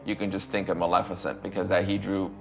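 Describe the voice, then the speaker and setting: A man's voice: deep voice, level tone, quick pace, gravelly, over music. A man talking in a documentary interview.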